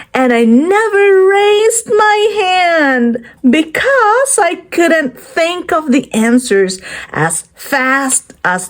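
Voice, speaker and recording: A woman reads a picture book aloud for young children in a high voice that swoops widely up and down. She takes it very slowly, like a lesson, stopping to put questions to her listeners and praise them. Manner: teacherly, pausing to quiz and praise young listeners.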